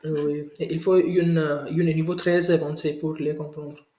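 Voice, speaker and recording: A man practicing French as a student in a video call lesson, speaking haltingly with many hesitations and slips. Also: fairly high pitch, flat delivery, warm tone. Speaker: language student. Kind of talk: casual conversation practice. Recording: roomy video call audio.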